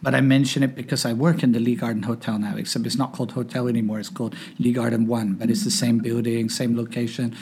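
A man's voice: mid-range voice, level tone, fast, hardly any pauses, darkish tone, husky. A man in his fifties, born in Northern Ireland and raised in Hong Kong, telling jokey, self-deprecating stories as a guest on a casual podcast. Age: 50s.

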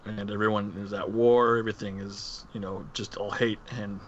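A man's voice: on the low side, level tone, normal speed. A man co-hosting a podcast in casual discussion, heard through dull, lo-fi audio with faint noise. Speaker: podcast co-host. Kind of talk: casual movie-discussion chat.

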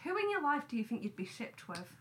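A woman's voice: high-pitched, sing-song, fast talker, a bit bright, a little hiss or hum, low-quality recording. A young woman chatting casually with friends as a podcast co-host, with a playful, teasing manner. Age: young adult. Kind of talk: casual group chat.

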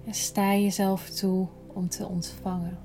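A woman guiding a yoga nidra relaxation in a gentle, soothing, unhurried manner, breathy at times. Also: mid-range voice, little pitch movement, faint music behind. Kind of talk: slow guided relaxation meditation.

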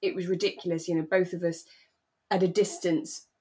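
British female podcast co-host, slightly lower register, easygoing chatty delivery. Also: fairly even intonation, fairly quick, dark tone, slight background noise. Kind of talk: relaxed conversational chat about a radio drama.

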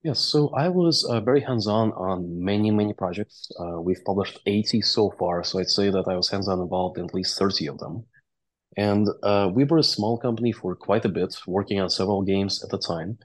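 Low-voiced man. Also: brisk, low-quality recording. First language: Russian or Latvian. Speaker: interview guest, game company founder and CEO. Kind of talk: conversational interview storytelling.

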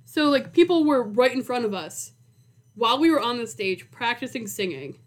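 A woman's voice: a little high, lively intonation, moderate pace. A woman in her twenties co-hosting a podcast in a casual chat, talking informally with lots of "like". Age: 20s. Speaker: podcast co-host.

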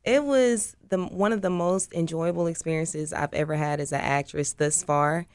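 A woman chatting as an interview guest in an animated, colloquial way, acting out what people say. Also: deep voice, normal speed, clean tone. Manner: animated, colloquial, acts out quotes. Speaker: guest, actress. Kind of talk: casual interview chat.

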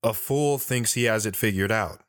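A man's voice: on the low side, normal speed, dry recording, studio quality, close to an everyday voice. A man playing an earnest, guilt-ridden soldier, narrating his hard life in a sober, plain-spoken way for an audio drama. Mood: regretful. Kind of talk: dramatic first-person narration.

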